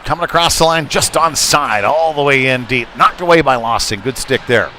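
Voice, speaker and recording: A man's voice: medium pitch, moderate pace, pushed and bright. Man calling hockey over rink noise. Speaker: play-by-play sports commentator. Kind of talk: live ice hockey play-by-play commentary. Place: indoor ice rink. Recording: noisy live rink broadcast.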